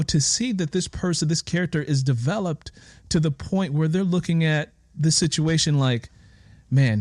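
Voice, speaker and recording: A man hosting a conversational interview, speaking in deliberate, wordy phrases. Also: fairly high pitch, darkish tone, dry recording, studio quality. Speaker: interview host.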